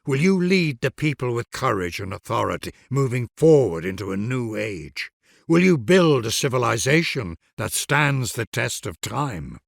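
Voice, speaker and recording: A man's voice: mid-range voice, big pitch swings, normal speed, creaky, dry recording, studio quality. A man delivering scripted narration for a game in a grand, solemn, declamatory manner.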